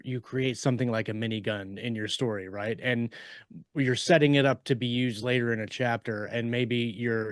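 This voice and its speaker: A man's voice: mid-range voice, no room sound. A man speaks as a podcast panelist, explaining by example in casual language. His voice is recorded dry, with no audible room.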